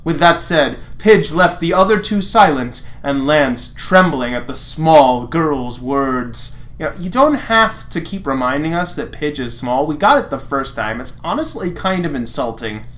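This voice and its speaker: Sardonic reader with a very animated delivery. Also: medium pitch, unhurried, hardly any pauses. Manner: sardonic, mocking. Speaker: fan fiction reader and commentator. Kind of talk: dramatic reading with sarcastic asides.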